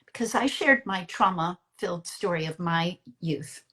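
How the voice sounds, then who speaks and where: A woman interviewer talking conversationally, sharing personal anecdotes in an earnest, emphatic way with pauses between phrases. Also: on the low side, lively intonation, pushed and bright. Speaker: interviewer and storyteller who works with teens.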